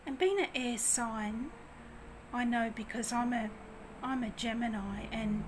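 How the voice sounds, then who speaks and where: Soft-voiced woman, tarot reader, slow and hesitant, a touch high, music bed underneath. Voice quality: quiet voice. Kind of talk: soft-spoken tarot reading.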